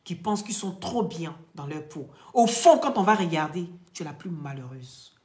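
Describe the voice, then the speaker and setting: Lady going live, lecturing folks then selling creams, lowish voice, echoey room. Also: lively intonation, normal speed, a little mellow. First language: not French. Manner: emphatic, lecturing, addresses viewers directly. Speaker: online vlogger and beauty product seller. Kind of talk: live social media chat and product pitch.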